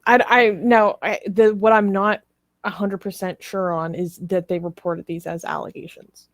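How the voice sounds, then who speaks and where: A woman co-hosting a casual news discussion, speaking haltingly and carefully qualifying what she says. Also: mid-range voice, fairly even intonation, no room sound. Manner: hesitant, careful to qualify claims. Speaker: talk show co-host.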